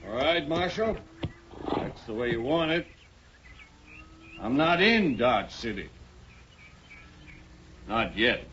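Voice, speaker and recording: An actor playing a sly, oily gunslinger nursing a grudge, stammering, in a 1950s radio western. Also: male voice, mid-range voice, sing-song, slow, pauses often. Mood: sullen menace. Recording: dull, noisy old radio recording.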